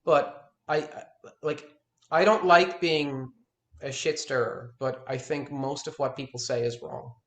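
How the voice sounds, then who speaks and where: A man in his thirties, a guitar teacher, explains technique with emphatic, frank insistence, often restarting words as he drives a point home. His voice sits a little higher than most men's. The sound carries a noticeable room echo. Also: steady tone, a little slow, a bit bright. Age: 30s. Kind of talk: one-on-one lesson consultation.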